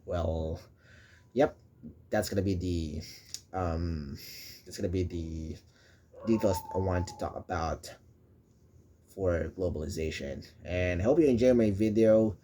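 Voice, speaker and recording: A young man from the Philippines with a Filipino accent, speaking English as a second language and explaining a school topic in a hesitant way with many pauses and restarts. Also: sits low, warm tone. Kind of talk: informal explainer video.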